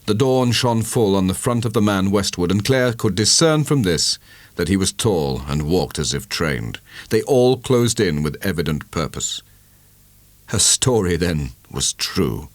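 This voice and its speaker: A man narrating a literary audiobook in a measured, dramatic storytelling manner. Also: sits low, expressive, no echo.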